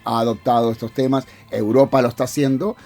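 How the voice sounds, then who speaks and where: Uruguayan guy on a radio panel, medium pitch, chatty discussion. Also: flat delivery, a little fast, darkish tone. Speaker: panel guest, tech executive.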